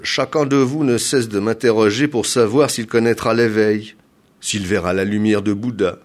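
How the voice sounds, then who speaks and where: A man playing a stern Zen master in a tale, questioning solemnly and forcefully. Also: fairly low pitch, steady tone, moderate pace, close to an everyday voice. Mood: stern, challenging.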